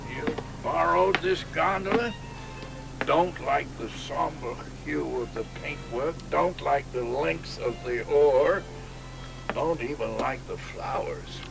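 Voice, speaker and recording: An actor playing a frail, forgetful elderly poet who frets and drifts into whispers. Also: male voice, a little high, slow, creaky, over music, low-quality recording. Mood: musing. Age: older. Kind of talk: radio drama acting.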